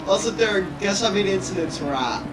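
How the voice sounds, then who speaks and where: A man's voice: sits high, expressive, fast. A man co-commentating a sim race in a playful, teasing manner. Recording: echoey, distant mic with loud engine noise.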